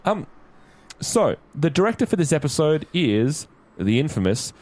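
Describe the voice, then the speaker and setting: Animated man hosting a casual podcast. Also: on the high side, normal speed. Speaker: podcast host. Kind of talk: casual chat, introducing episode facts.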